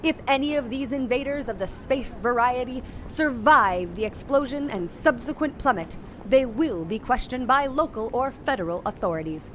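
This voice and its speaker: Field news reporter female actor: self-important, high-pitched, mock live broadcast over faint background noise. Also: expressive, normal speed, few pauses, fairly bright tone. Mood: breathless, self-important.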